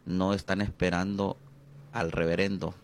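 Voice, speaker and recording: Deep, gravelly Honduran pastor. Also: male voice, little pitch movement, a little hiss or hum. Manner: hesitant, many drawn-out fillers, slows on key words. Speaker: pastor and interview guest. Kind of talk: reflective interview conversation.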